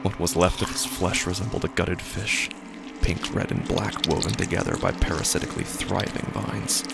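A man narrating scripted horror fiction dramatically and gravely, with deliberate pauses and breathy drops toward a whisper. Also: deep voice, steady tone, loud music behind the voice.

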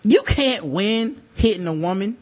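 A man's voice: high-pitched, lively intonation, unhurried. A man hosting a podcast, telling stories in loose, profane, laughing banter. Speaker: podcast host.

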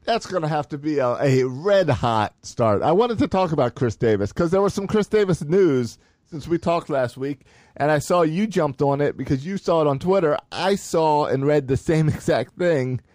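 A man co-hosting a podcast, casually steering the sports talk with calm agreement. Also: a little high, normal speed, no room sound, studio quality. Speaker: podcast co-host.

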